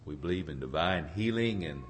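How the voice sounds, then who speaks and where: A hoarse man preaching an informal sermon in a halting, folksy way, searching for his words and clearing his throat. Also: low-pitched, expressive, a little hiss or hum. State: hoarse from a cold. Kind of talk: informal sermon with congregation responses.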